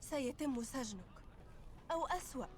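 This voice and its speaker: A regal, resolute rebel princess, speaking very fast in a slightly high voice. She is dignified and determined, in game drama over music. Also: expressive, darkish tone. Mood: concerned challenge. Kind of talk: video game cutscene acting.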